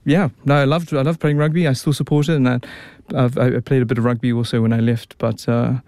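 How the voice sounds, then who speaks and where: A young man with a New Zealand accent talks easily as a radio guest, often restarting his sentences. His voice sits in a middle pitch. The sound is dry and close, with no room around it. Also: level tone, fast, a little mellow, clean tone, no echo. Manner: chatty, many restarts and fillers. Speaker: opera tenor, guest. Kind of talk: relaxed radio interview.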